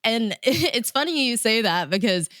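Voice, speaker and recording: An American woman chatting casually in an interview, animated and informal, peppering her talk with "like" and "you know". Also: a little high, a little slow, no room sound. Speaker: radio DJ and music supervisor, interview guest.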